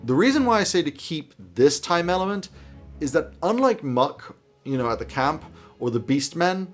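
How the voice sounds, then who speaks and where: A man's voice: a little high, very animated, moderate pace, soft background music. A man in his thirties giving conversational advice to camera in an emphatic, opinionated way, as a video host. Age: 30s. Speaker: host of tabletop roleplaying video channel. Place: small indoor home office.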